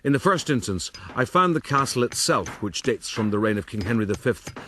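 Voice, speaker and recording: An actor playing a vain, self-satisfied gentleman adventurer, pompously narrating his own rise in a radio drama. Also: mid-range voice, lively intonation, a little fast, slight background noise, close to an everyday voice. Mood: haughty. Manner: pompous, formal. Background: music.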